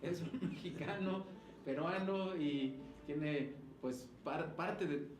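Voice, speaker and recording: A man's voice: on the high side, slow pace, darkish tone, reverberant. A man hosting an interview in a casual way, feeling for his words as he frames questions. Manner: hesitant, searching for words. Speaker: interviewer, host.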